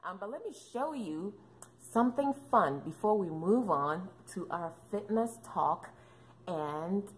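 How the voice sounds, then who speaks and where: A woman's voice: mid-range voice, expressive, a little slow, slight background noise, poor audio. A woman, chatting casually to camera as a vlogger, playful and joking with lots of fillers. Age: 30s. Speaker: fitness and mom vlogger. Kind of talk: casual chatty vlog talk.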